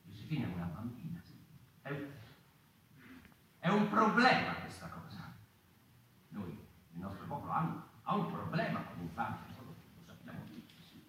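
An actor playing an agitated storyteller of the mouse folk, pondering aloud in a theatrical monologue, halting and repeating himself. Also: male voice, mid-range voice, wildly swooping pitch, sharp tone, exaggerated voice. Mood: halting, troubled. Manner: halting, repetitive, seeking agreement with tag questions. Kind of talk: theatrical monologue, literary stage narration. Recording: very echoey room, distant mic, faint noise.

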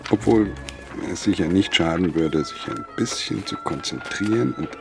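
An actor playing a nervous, stubborn railway engineer in his forties in a German radio play, halting and self-justifying, with music under him. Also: low voice, gravelly. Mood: brisk, fussy. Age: 40s. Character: nervous, stubborn forty-year-old railway engineer, male.

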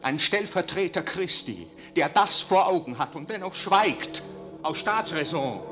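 An actor playing an impassioned young priest, declaiming in emphatic radio drama style. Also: male voice, a little high, expressive, a little slow, music in the background. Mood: rising outrage. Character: impassioned young priest accusing the Pope of silence.

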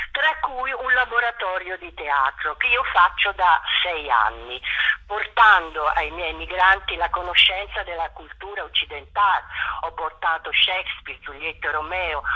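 A very bright, forceful woman's voice, rough and husky, stuffed up with a cold. She explains her work as an interview guest in emphatic, separately placed phrases. The sound is slightly dull and band-limited, like radio. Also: fairly low pitch. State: congested from a heavy cold. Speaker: actress, theatre workshop director and interview guest. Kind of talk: spoken interview, explaining a project.

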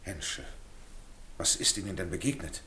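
An actor playing a sensible gentleman in a German radio drama, speaking in a soothing, reasonable way. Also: deep voice, expressive, normal speed. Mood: calming, admonishing. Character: calm, sensible gentleman mediating a quarrel.

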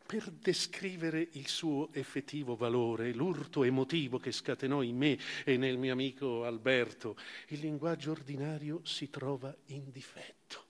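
A man giving a dramatic, declamatory theatrical reading of a memoir, with pauses and strong swings of emphasis. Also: medium pitch. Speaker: actor reading literary prose on stage. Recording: live string music under the voice. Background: violin music.

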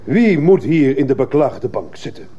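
Bereaved fascist father, indignant, declamatory, very dark voice, swooping pitch, radio play. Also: big pitch swings, moderate pace, breathy edge. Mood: indignant, accusing. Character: accusing, zealous fascist father of a murdered son. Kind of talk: radio drama acting, courtroom oratory. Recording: muffled old radio play, faint noise.

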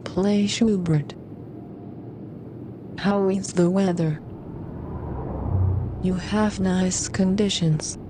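A woman's voice: medium pitch, steady tone, very slow, pauses often, noisy background. A woman voicing an impassive car navigation assistant with clipped, mechanical phrasing in a radio drama.

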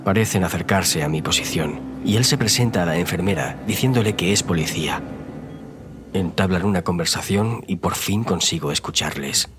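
Man playing very deep-voiced horror narrator. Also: normal speed, natural voice. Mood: pained, tense. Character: injured, frightened man narrating his own nightmare. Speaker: narrator in audio fiction. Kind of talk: scripted first-person horror narration.

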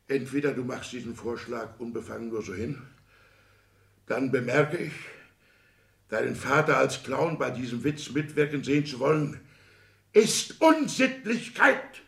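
A man's voice: medium pitch, lively intonation, a little slow, vocal fry, pauses often, reverberant. An actor playing an indignant, anxious old petty-bourgeois father, a minor official, theatrically agitated in the style of 1960s German radio drama. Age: older. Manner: agitated, theatrical, swinging between outbursts and hushed lament.

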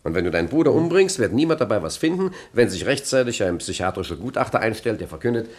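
An actor playing a cunning, self-assured gang boss in a radio crime comedy, chatty and nonchalant. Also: male voice, lowish voice, sing-song, fast talker, a little mellow. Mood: breezy. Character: breezy, cunning master criminal of the twenties, gang boss. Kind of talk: radio play acting.

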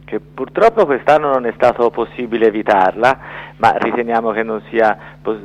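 A man's voice: mid-range voice, moderate pace. A man giving a radio interview as a festival director, explaining things in careful, formal phrases over a remote link. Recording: dull band-limited phone-like radio link.

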